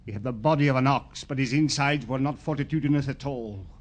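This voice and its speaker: A man's voice: medium pitch, brisk, close to an everyday voice. Gloating, mock-polite killer played by a man. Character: gloating, mannered male killer with sadistic, unstable temper. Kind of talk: 1950s radio crime drama acting. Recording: old 1950s radio recording.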